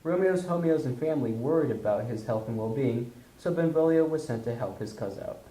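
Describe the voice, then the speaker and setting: Male narrator for comic puppet tale: mellow, mid-pitched, slangy scripted delivery, echoey room. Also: expressive, fairly quick.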